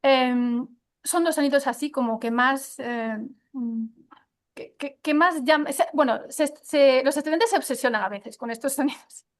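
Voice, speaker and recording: High-voiced woman, hesitant teacher talk. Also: unhurried. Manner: hesitant, many fillers and restarts. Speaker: guest, pronunciation teacher. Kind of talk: conversational interview answers.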